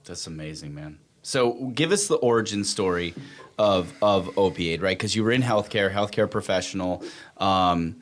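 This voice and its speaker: A man's voice: low voice, lively intonation, normal speed. A man hosting a podcast in a casual, conversational way, rephrasing his questions as he goes. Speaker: podcast host.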